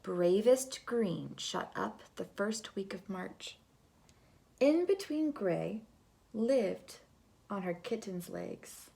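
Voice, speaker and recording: A woman reading a picture book aloud to children in an expressive, dramatic way, moving between hush and big swells. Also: medium pitch, takes it slowly, gravelly, slight background noise. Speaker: storybook reader.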